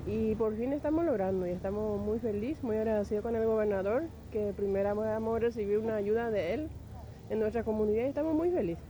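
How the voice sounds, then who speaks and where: A woman giving quick, short answers in a street interview. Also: mid-range voice, mellow, slight background noise, poor audio.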